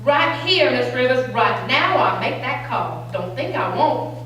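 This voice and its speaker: A stern Southern state official acted by a woman in a stage reading, condescending and coldly coercive, with folksy authority. The high voice rings in a very echoey room over clear background noise. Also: expressive, a little slow, fairly bright tone. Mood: commanding. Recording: very echoey room, distant mic, noisy.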